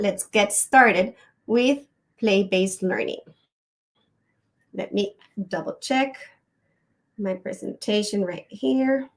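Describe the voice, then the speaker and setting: A middle-aged woman presents a live webinar into a computer microphone. She speaks unhurriedly and at a mid-range pitch. She tells personal stories in a friendly way, with plenty of ums. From Costa Rica.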